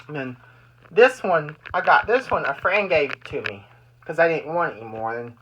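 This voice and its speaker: A bright, forward voice with a medium pitch talks through a hobby demonstration. The delivery is casual and rambling, circling back to repeat and restart phrases. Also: lively intonation, moderate pace, breathy edge, highs cut off. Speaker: amateur video maker demonstrating weather radios. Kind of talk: casual hobby show-and-tell.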